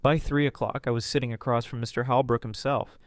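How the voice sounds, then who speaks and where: A man narrating a first-person family drama story in an earnest, measured way with dramatic pauses. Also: mid-range voice, steady tone, no room sound.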